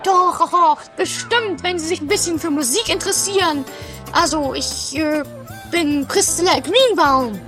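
A woman playing an excitable, chatty young woman in a comedy radio play, gushing and stammering dramatically. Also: extremely high pitch, unhurried, soft background music. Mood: excited.

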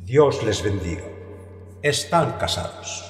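Low-voiced paternal village priest. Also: male voice, animated, soft background music. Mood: solemn. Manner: measured, paternal, ceremonious. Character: don Manuel, kindly, solemn village priest, paternal. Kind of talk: radio play acting.

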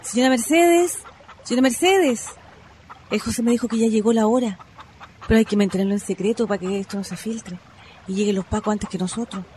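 A Chilean actress playing a working-class wife passing on secret news in a radio drama. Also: female voice, fairly high pitch, a little fast, warm tone, slight background noise, close to an everyday voice. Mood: hushed urgency. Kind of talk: radio play acting.

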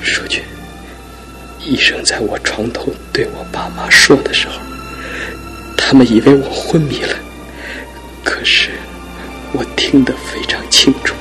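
A man's voice: mid-range voice, wildly swooping pitch, a little slow, long pauses, pushed and bright, full character voice. An actor playing a young man injured in a fall, weak and despairing, in a radio drama with music behind. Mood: anguished. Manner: swings between faint murmurs and anguished cries.

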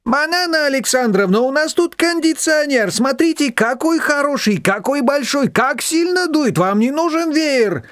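A man voicing a loud, fussy, doting woman of a storybook, who exclaims and frets insistently. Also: high-pitched, big pitch swings, brisk, hardly any pauses, no echo, studio quality, pitched above the performer's own voice. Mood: flustered, gushing. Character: loud, fussy, excitable older Georgian-family woman, dramatic and doting.

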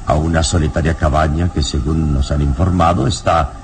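A man acting a calm, wise, commanding adventurer hero in an old radio serial, speaking deliberately and dramatically. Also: very deep voice, a little hiss or hum. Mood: composed, knowing.

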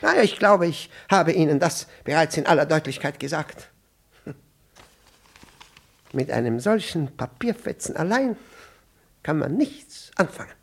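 An actor playing a flustered, pompous middle-aged diplomat, evasive and irritable, stammering and repeating himself in a 1960s German radio play. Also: male voice, on the high side, lively intonation, a little slow, gravelly, long pauses, full character voice. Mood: indignant, pompous. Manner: stammering, repetitive, blustering.

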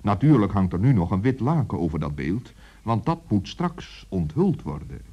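An actor as the narrator of a children's radio play, telling the tale as scripted storytelling. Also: male voice, fairly low pitch, very animated, normal speed, husky, muffled recording, exaggerated voice. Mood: calm, storytelling.

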